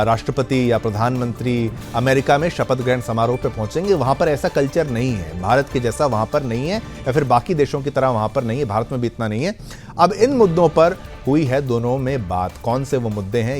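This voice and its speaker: A man's voice: mid-range voice, a little mellow. A man anchoring television news, explaining stories conversationally and often rephrasing points for viewers. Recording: music bed under the voice. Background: background music.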